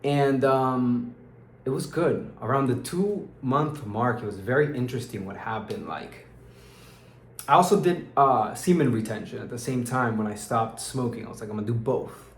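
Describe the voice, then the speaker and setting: A man's voice: mid-range voice, moderate pace. A young man with an American accent talks through his evening video diary. He rambles, restarts his sentences and drops slang like dude and bro, and he sounds tired. The voice bounces around an echoey room over a faint bed of ambient noise. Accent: American English. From Peru. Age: young adult. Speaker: vlogger. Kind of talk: casual diary vlog.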